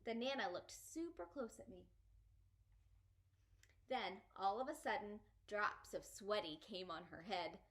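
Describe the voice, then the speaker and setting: A woman reading a children's story aloud as an expressive storyteller. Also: medium pitch, measured pace, pauses often. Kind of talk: expressive children's book narration.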